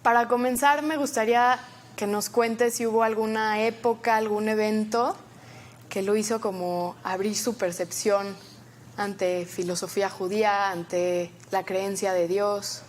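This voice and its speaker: A woman's voice: medium pitch, little pitch movement, a little slow, a little hiss or hum. A woman hosting an interview, introducing and questioning her guest in a polite, formal way. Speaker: interview host.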